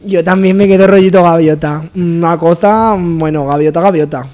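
A man's voice: on the high side, normal speed, darkish tone. A man with an accent from Spain, a comedy radio panelist bantering casually and making jokes. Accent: Spanish from Spain.

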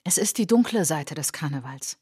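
An actress narrating as a reflective, resolute woman looking back on her childhood in a German radio drama, in measured short phrases. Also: deep voice, expressive, dark tone, husky, full character voice. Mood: reflective, sober. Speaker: narrator of autobiographical monologue. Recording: music behind the voice.

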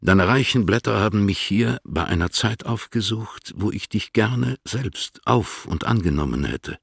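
An actor playing a stately older male poet, composed yet longing, reading formal letters in a solemn, measured way for a German radio play. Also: fairly low pitch, animated, creaky, husky, no room sound, studio quality, exaggerated voice.

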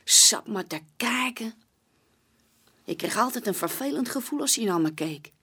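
An actress playing a young, plain-spoken barmaid giving witness testimony in a chatty, colloquial way in a radio play. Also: female voice, fairly high pitch, expressive, normal speed, pushed and bright, breathy edge, full character voice. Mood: disgusted. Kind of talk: naturalistic radio play dialogue.

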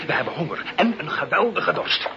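Sly smuggler, muffled recording. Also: male voice, on the high side, very animated, brisk. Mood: jovial, bossy. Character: sly, jovial smuggler and bossy husband. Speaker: actor. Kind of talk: radio play acting. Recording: muffled, noisy old radio recording.

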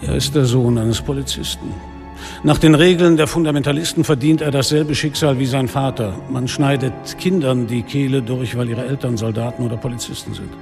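An actor playing a weary, defiant middle-aged police inspector who narrates and speaks bluntly in a German radio crime drama. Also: male voice, medium pitch, fairly even intonation, brisk, creaky, darkish tone, faint music behind, lightly acted. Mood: somber. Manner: blunt, sardonic, literary phrasing. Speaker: lead actor and first-person narrator.

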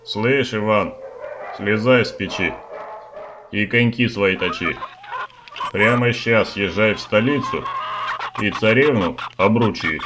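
Guy doing a bossy village dad, slow lowish voice, folksy rhymes, chickens and rooster noise behind. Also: highs cut off. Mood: bossy urging. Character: bossy poor peasant father of a grown son. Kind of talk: rhymed comic fairy-tale performance.

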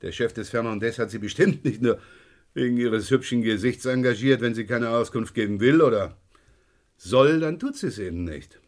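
An actor playing a calm, courteous French police inspector with measured authority in a German radio crime play. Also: male voice, medium pitch, lightly acted. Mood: businesslike.

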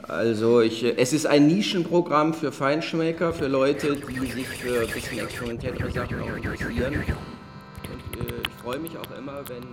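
A man's voice: medium pitch, moderate pace. A man who makes radio plays, explaining his work in casual, hesitant talk. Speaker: radio play maker being recorded in conversation.

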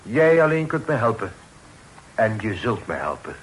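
An actor playing a bold, insistent fugitive outlaw in a radio play, emphatic and persuasive. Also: male voice, fairly low pitch, very animated, moderate pace, low-quality recording. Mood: insistent, urgent. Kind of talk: old Dutch radio play acting. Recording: old radio recording with faint noise.

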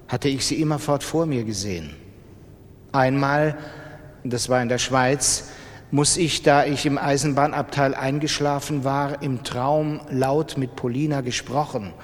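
A man's voice: medium pitch, natural voice. Guy playing a brooding lovesick gambler telling his story in a radio play, very reverberant hall. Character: brooding lovesick young male gambler narrator. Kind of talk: literary first-person reading in radio drama.

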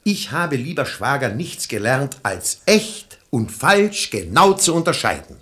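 Sensible, ironic brother-in-law, animated delivery. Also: male voice, mid-range voice, measured pace. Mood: earnest persuasion. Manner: eloquent, theatrical, argumentative. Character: sensible, ironic brother-in-law reasoning against false piety. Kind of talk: German radio drama acting in verse.

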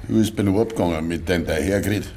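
Gruff old Bavarian farmer, very low voice, creaky, stubborn and grieving, curt, vintage radio drama, faint noise. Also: male voice, rapid-fire, darkish tone. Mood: indignant. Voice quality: gravelly. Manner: curt, brooding. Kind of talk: 1950s German dialect radio play acting. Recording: 1950s radio recording with faint hiss.

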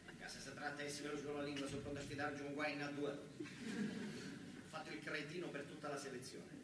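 A man with a southern Italian accent performing a comic, colloquial stage monologue as a factory worker, animated and repeating words for effect. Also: a little high, brisk. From southern Italy. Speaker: stage actor and storyteller. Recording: echoey hall, distant mic, noisy background.

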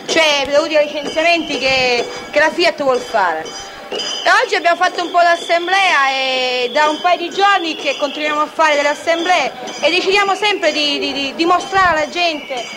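A woman from southern Italy giving spontaneous testimony as a striking worker, halting and repeating words. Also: sits high. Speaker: striking car factory worker. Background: clear music.

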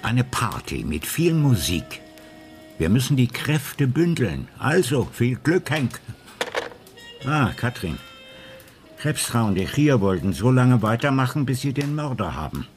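An actor playing a deliberate older investigator in a radio crime drama, speaking in a measured, analytical way. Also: male voice, medium pitch, big pitch swings, soft background music. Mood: concerned.

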